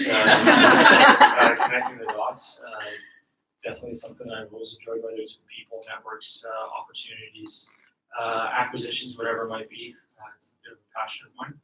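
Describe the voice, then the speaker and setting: A man's voice: mid-range voice, bright, forceful tone. A man, a private equity executive, introducing himself to a group in a jokey, easygoing way. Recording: echoey room, distant, clear noise.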